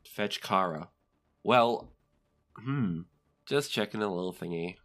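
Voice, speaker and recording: A game master with a low-pitched voice narrates a tabletop roleplaying game. The delivery is conversational and easygoing, moving between scene description and rulings for the players. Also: expressive, takes it slowly, a bit bright.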